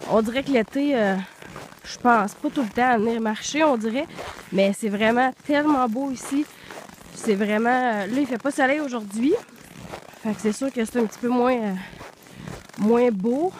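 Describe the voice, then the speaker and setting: Québécoise girl vlogging with a stuffed-up flu voice, kinda high, rambly with lots of euhs, outside in the cold, a little faint noise. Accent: Quebec French. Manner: rambling, many fillers. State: congested with flu, hoarse; out of breath from walking. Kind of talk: casual vlog chat while walking outdoors. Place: snowy woods outdoors in deep cold.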